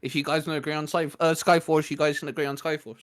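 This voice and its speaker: A man's voice: fairly high pitch, flat delivery, fast, no room sound, clean studio sound. A man hosting a casual chat with friends, laughing often, swearing and restarting his sentences. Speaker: podcast host running the quiz.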